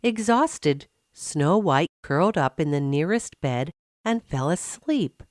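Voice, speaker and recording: A woman narrating a scripted fairy tale for children, expressive and carefully phrased. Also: sits low, slow, no echo, clean studio sound. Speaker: storyteller.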